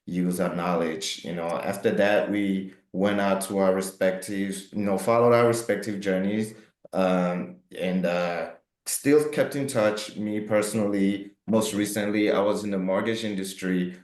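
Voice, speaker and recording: A man's voice: sits low, steady tone, a little slow, a little mellow, roomy sound. A man from Cameroon, co-founder of a housing company, answering interview questions with many fillers and restarts.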